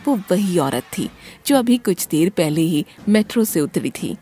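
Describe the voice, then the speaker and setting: A woman narrating a scripted horror story in a dramatic, deliberate storytelling manner. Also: on the low side, very animated, warm tone.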